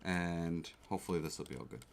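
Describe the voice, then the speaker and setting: A chatty man talks casually on a livestream, often asking his viewers how things sound. His voice sits a little low and comes through a desk mic. Faint keyboard clicks and typing run underneath. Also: fast talker, creaky, noisy background. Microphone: desk mic close to the keyboard.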